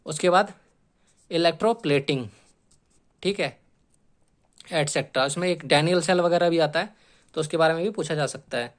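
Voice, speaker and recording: A man's voice: on the high side, normal speed, pauses often. A man giving an instructional exam syllabus lecture as a coaching teacher, often adding short check-in tags and mixing in English terms.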